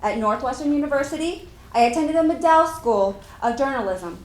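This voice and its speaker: A woman's voice: sits high, lively intonation, a little fast, darkish tone. A woman playing an accomplished physician, formally reciting her life story in a scripted dramatic reading. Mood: formal, proud. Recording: echoey hall stage recording.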